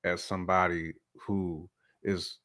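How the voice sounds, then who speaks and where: A man's voice: low-pitched, flat delivery, slow pace, pushed and bright. A man co-hosting a talk show, giving his opinions casually in colloquial, slangy speech. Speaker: podcast co-host giving a man's point of view.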